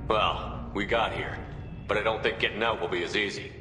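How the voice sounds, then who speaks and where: Man as a firm, low-voiced military commander. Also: steady tone, normal speed, close to an everyday voice. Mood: resolute. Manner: firm, terse, businesslike. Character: stern, no-nonsense male military commander. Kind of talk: video game voice acting. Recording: echoey reverb with music behind.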